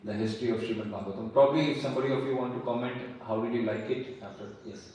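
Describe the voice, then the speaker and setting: A man's voice: medium pitch, rapid-fire, a little mellow, a little hiss or hum. A man giving a lecture on history and archaeology and answering audience questions, explaining patiently and repeating key points. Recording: echoey hall, mic far off, faint ambience.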